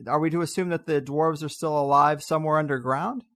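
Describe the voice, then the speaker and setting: A man's voice: on the high side, fairly even intonation, brisk, a bit bright. A man hosting an interview in a casual, conversational way, putting questions to a guest. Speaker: interview host.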